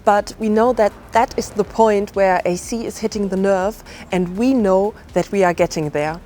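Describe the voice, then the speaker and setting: A woman's voice: mid-range voice, normal speed, mellow. A woman on an agency team, speaking in an interview in a confident, matter-of-fact way.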